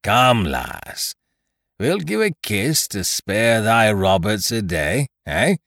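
A man's voice: low-pitched, big pitch swings, slow pace, vocal fry, fairly bright tone, dry recording, clean studio sound, full character voice. A man voicing a brutal, drunken, leering pirate captain with archaic sailor speech in an adventure audiobook. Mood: drunken jocularity. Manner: roaring, maudlin jocularity, archaic sailor speech.

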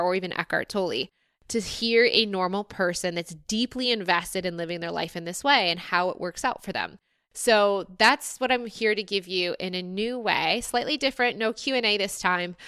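A woman's voice: medium pitch, fairly bright tone, clear, resonant tone, no echo, studio quality. A woman hosting her own podcast, talking in a chatty, upbeat way and laughing often. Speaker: podcast host. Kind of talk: casual solo podcast monologue.

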